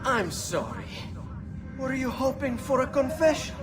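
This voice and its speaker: A man's voice: very high voice, very animated, measured pace, heavily acted. A man playing an arrogant, sneering young noble villain in a video game. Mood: mocking. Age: young adult. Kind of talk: video game cutscene acting. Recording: very loud game music over voice.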